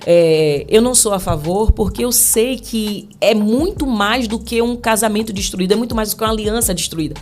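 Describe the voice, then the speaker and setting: A woman's voice: medium pitch, normal speed, hardly any pauses, darkish tone, slight background noise. Earnest Brazilian woman teaching on marriage. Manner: earnest, preachy, many fillers and restarts. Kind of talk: podcast interview.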